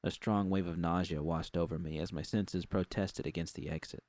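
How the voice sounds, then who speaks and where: A man's voice: low-pitched, fairly even intonation, moderate pace, darkish tone, no room sound. A man reading a scripted first-person story as narrator.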